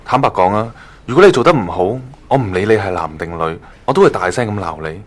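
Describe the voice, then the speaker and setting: A man's voice: on the low side, expressive, fast talker. A man with a Hong Kong accent playing a blunt, demanding magazine columnist in a radio drama. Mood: stern, frank. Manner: direct, frank. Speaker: voice actor in an online radio drama.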